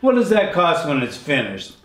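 A jovial older man who talks casually and laughs a lot as he leads an interview and chats to the camera. His voice runs slightly high for a man, with a roomy echo around it. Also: huge pitch swings, moderate pace, fairly bright tone. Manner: jovial, chatty, laughs often. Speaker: host, interviewer. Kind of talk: casual interview and vlog talk. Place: artist's home studio, indoors.

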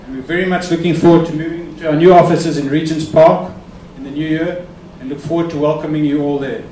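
A man's voice: on the high side, fairly even intonation, fast talker, warm tone, a little hiss or hum. A man opening a conference with a formal, courteous welcome before handing over to the speaker. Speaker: host introducing the speaker. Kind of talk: welcome speech. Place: conference hall. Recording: echoey hall from far off.

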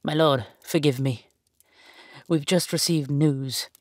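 Guy doing a nervous humble servant, highish voice, urgent and apologetic, fantasy audio drama. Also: slow, dry recording. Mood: anxious, urgent. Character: anxious, deferential servant bringing bad news.

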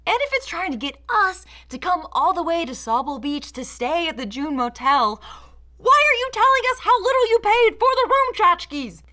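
A woman's voice: extremely high pitch, very animated, normal speed, sharp tone. A young woman giving scripted, sarcastic commentary as a video essayist, with a theatrical, mock-indignant manner. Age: young adult.

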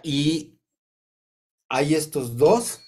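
A man in his sixties with a Mexican Spanish accent, congested from a cold, teaching informally over a video call in a halting, deliberate way with many pauses. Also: a little high, expressive, a little mellow, a little hiss or hum. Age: 60s. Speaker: sound therapy teacher. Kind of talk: informal explanatory online talk. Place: indoor room on a video call. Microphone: computer mic on a video call.

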